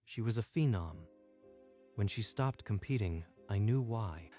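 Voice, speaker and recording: A man playing a calm, wise, protective older gym keeper who speaks in a measured, grave way in a dubbed comic story. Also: fairly low pitch, soft background music. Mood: solemn, reassuring.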